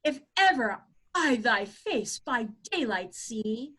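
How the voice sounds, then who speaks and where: A woman's voice: fairly high pitch, very animated, slow pace, a bit bright, breathy edge, full character voice. A woman playing a hot-headed young male lover who challenges a rival, reading Shakespeare emphatically. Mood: indignant. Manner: emphatic, declamatory. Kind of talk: Shakespeare table read in verse.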